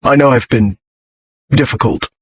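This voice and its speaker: A man's voice: medium pitch, measured pace, husky. A man voicing a brooding, proud nobleman in an audiobook, speaking in clipped, formal, dryly witty period style. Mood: shaken, rueful. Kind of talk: audiobook character voice.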